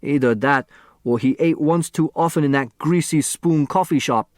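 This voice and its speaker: A man's voice: a little high, normal speed, a little mellow, no room sound, clean studio sound, pitched above the performer's own voice, lightly acted. A man voicing a blunt, agitated male heir in an audiobook, speaking emphatically and accusingly. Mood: heated, accusing. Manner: emphatic, heated.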